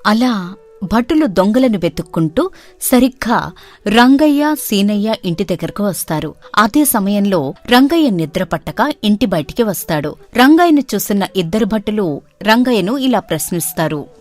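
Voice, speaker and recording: A woman storyteller narrating a comic bedtime tale at a steady pace. Her voice sits at an ordinary pitch, and soft music plays faintly behind her. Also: normal speed.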